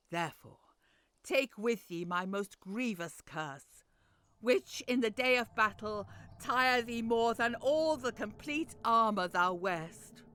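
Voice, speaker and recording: A woman's voice: medium pitch, a bit bright, clean tone. An actress playing an aged royal mother who curses her son in theatrical, grieving verse. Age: older. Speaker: actor. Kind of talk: Shakespearean audio drama.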